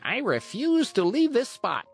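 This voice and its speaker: A narrator plays an old male talking cricket from a storybook, tiny and pitched high. He moralizes with calm patience, his pitch rising and falling in a lively way. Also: measured pace, gravelly, breathy edge, faint music behind, full character voice. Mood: solemn warning. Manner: calm, preachy.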